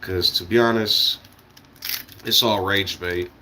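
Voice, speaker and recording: A man's voice: lowish voice, steady tone, takes it slowly, sharp tone, slight background noise. An American man giving a casual, rambling livestream monologue in a blunt, opinionated way, with swearing and meandering asides. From United States. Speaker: live streamer.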